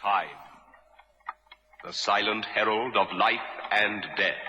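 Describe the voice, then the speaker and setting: An actor playing a grave, ominous radio drama narrator, a man with a portentous, deliberate delivery. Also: lowish voice, fairly even intonation, noisy background. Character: grave, ominous male narrator personifying fateful time. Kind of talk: dramatic radio series announcement. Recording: cavernous long reverb, vintage radio. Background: music and clock ticking.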